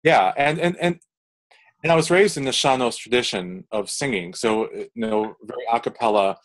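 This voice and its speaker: A man's voice: mid-range voice, moderate pace. A young man, a composer, answering interview questions in casual talk, rambling with many fillers and restarts.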